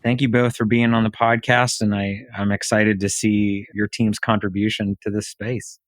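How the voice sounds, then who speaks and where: A man's voice: on the low side, little pitch movement, normal speed, no room sound. A man hosting an interview podcast, speaking in a conversational way to his guests. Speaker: podcast host.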